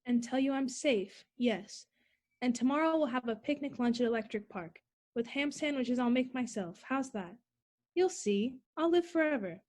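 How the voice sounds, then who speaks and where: A woman playing a headstrong, unafraid young woman, brisk and self-assured, in an old-time radio play. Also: high voice, animated, a little mellow. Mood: reassuring. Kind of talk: 1940s radio play acting.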